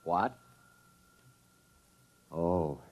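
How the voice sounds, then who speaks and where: An actor playing a panicky, sniveling scientist in a 1950s radio drama, whining, pleading and stammering when flustered. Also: male voice, deep voice, expressive, very slow, noisy background, full character voice. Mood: panicked pleading. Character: panicky, sniveling male publicity-minded scientist.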